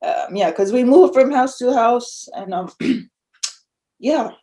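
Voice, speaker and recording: A young woman from Tonga, a guest on a podcast, speaking softly and with feeling. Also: on the high side, slow pace. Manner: soft-spoken, emotional, laughs often. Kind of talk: casual interview.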